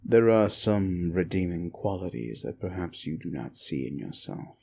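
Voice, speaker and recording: A man playing a demon who grudgingly comforts a human, in an intimate ASMR roleplay with a deliberate, dryly menacing but soothing delivery. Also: deep voice, animated, vocal fry, dark tone, exaggerated voice. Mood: dry, teasing menace. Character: male demon, sinister soul-eater grudgingly soothing a human.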